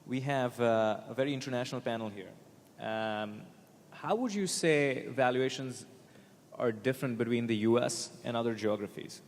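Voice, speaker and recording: A man moderating a panel, asking quick questions with light humor. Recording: reverberant hall with faint rumble.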